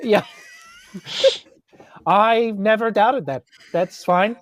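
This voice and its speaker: A man's voice: high-pitched, extremely slow, no echo. Chatty New man improvising in a game. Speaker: tabletop game player. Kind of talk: casual improvised role-play.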